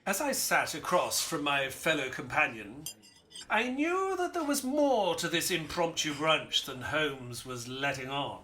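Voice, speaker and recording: An actor playing a polite, earnest detective's companion who narrates an audio drama in a formal, theatrical storytelling manner. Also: male voice, on the high side, animated, unhurried, pushed and bright, slight background noise. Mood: dramatic narrating.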